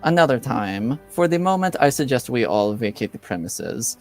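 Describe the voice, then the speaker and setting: A man voicing a composed, businesslike female assassin in a tabletop game, with formal, measured phrasing. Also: mid-range voice, animated, a little mellow, faint music behind, close to an everyday voice.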